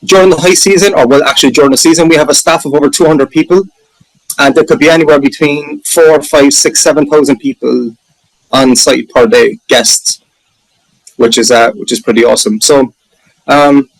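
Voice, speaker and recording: Irish man, keen presenter, fairly high voice, close dry sound, casual pitch to a group. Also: steady tone, moderate pace, no echo, poor audio. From Ireland. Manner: enthusiastic, chatty, self-correcting. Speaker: booking manager presenting his sailing resort. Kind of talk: casual presentation on a video call.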